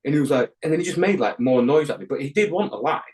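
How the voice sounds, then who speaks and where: A man's voice: a little high, expressive, quick pace, darkish tone. A man from England, a podcast guest, telling a rambling anecdote in casual chat, swearing constantly and restarting his sentences with ums and likes.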